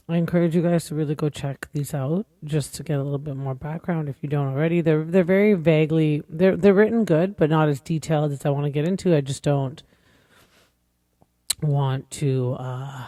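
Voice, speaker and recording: A woman's voice: low voice, dark tone, no room sound. Lady in her 40s just chatting on her podcast, kinda rambly and earnest, dry close sound. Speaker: podcast host. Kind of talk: casual podcast monologue.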